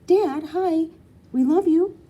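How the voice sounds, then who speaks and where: A woman voicing a small, excitable, loving girl in a storybook, exclaiming eagerly. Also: very high voice, slow, muffled recording, pitched above the performer's own voice.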